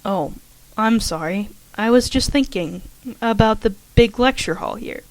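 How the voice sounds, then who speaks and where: A woman's voice: medium pitch, animated, a little slow, dry recording, rough recording. A woman reading as a shy, dreamy college girl. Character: shy, daydreaming young woman college student.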